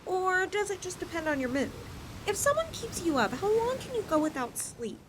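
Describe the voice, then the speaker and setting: Woman voicing a high, lilting, possessive lamia girl. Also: very animated, normal speed, background noise. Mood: eager, playful. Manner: coaxing, playful, dramatic swings in delivery. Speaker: audio roleplay performer. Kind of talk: ASMR roleplay, acted monologue addressed to listener.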